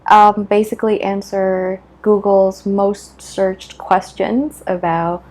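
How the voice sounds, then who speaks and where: A woman from Vietnam with a Vietnamese accent, chatting casually as she answers questions in halting, thoughtful bits with short punchy answers. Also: mid-range voice, fairly even intonation, darkish tone. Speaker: video creator answering questions. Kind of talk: casual chat to camera.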